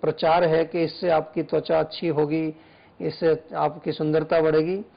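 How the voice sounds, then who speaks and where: A male Ayurvedic doctor on a studio health show, calmly explaining remedies and advice step by step. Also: a little high, moderate pace, creaky, lo-fi sound. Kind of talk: studio health talk, advising callers.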